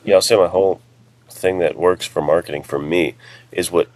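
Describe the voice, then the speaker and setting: A man with a very deep, creaky voice, chatting casually as an author in a rambling, self-deprecating way. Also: steady tone, normal speed, darkish tone. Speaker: author and podcast panelist. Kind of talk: casual chat.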